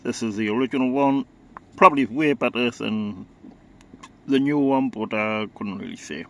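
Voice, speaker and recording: A man's voice: mid-range voice, moderate pace, vocal fry, a bit bright, a little hiss or hum. A man informally demonstrating radio equipment in a casual, hesitant, matter-of-fact way. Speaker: radio enthusiast presenting equipment.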